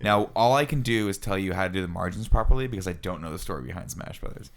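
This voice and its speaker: A man with a deep voice full of vocal fry talks fast and with lots of melodic movement. He is a podcast co-host, playful and jokey in casual conversation. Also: crowd noise. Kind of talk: casual panel discussion.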